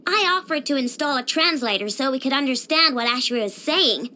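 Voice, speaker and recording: A woman's voice: sits high, expressive, brisk, bright, forceful tone. A woman voicing a cheerful, proud young girl inventor in a video game, with a bubbly, boastful, childlike delivery. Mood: chatty, indignant. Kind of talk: scripted video game character voice lines.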